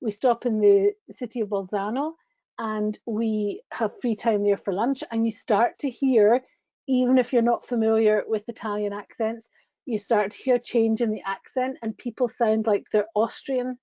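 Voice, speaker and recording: A woman's voice: on the high side, steady tone, moderate pace, mellow, no room sound. Gushing woman on a lo-fi video call. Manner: gushing, chatty, with frequent restarts. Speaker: tour company presenter. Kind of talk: enthusiastic travel presentation over slides. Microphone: webcam on a video call. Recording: dull lo-fi video call audio.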